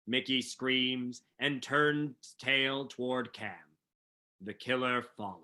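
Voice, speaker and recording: A man's voice: mid-range voice, steady tone, takes it slowly, bright, forceful tone. A man reading scripted narration for a staged play reading.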